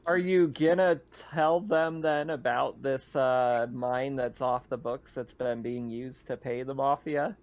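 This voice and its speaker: A man acts as game master at a tabletop roleplaying session. He talks in a conversational, halting way at a middle pitch, and the recording is a little dull and lo-fi. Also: fairly even intonation.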